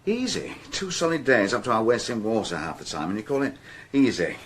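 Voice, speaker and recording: A dogged detective in a radio crime drama, British-accented and slightly husky. His delivery swings widely in pitch, moving between hesitant musing and exasperated protest. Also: very animated, brisk. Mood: weary, explaining. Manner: hesitant musing breaking into indignant outbursts. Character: dogged, exasperated male French police detective.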